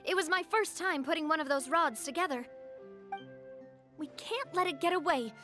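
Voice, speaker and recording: A young woman voicing a kind, thoughtful female leader in a video game, speaking gently and laughing easily. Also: sits high, moderate pace. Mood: excited. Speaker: game character. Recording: soft game music underneath.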